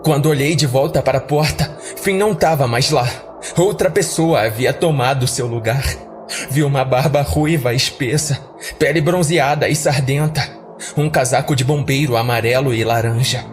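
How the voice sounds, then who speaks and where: A man's voice: mid-range voice, moderate pace. Male horror narrator over prominent music. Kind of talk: dramatic scripted horror narration.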